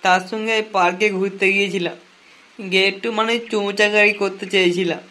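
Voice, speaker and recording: A man voicing a high-pitched whiny wronged young lover. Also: little pitch movement, sharp tone. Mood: rueful, complaining. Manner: whining, sarcastic, drawn-out lamenting. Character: wronged young lovelorn man, foul-mouthed, whiny, jailed. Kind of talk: comic cartoon dialogue in colloquial Bengali slang.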